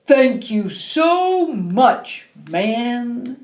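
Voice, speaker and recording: Big gentle storybook bear played by a woman, polite, drawn-out pleading, roomy echo. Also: a little high, sing-song, exaggerated voice. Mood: grateful. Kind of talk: storybook character voice in live storytelling. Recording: roomy, echoing space.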